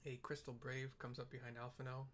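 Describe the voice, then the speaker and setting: Casting: relaxed male gamer narrating his playthrough, offhand, mid-pitched. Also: brisk, slight background noise. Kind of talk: casual commentary over gameplay.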